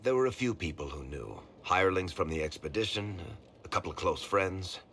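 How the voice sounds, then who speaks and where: An actor playing a wry, roguish middle-aged man in a video game, with a gravelly voice and a sardonic, conversational delivery. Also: low-pitched, expressive, a little fast, a bit bright, slight background noise. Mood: matter-of-fact.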